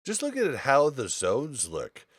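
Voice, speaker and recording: A man hosting a casual gaming podcast, talking informally and thinking aloud in halting phrases. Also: mid-range voice, wildly swooping pitch, fairly bright tone, breathy edge, no echo, clean studio sound. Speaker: podcast host.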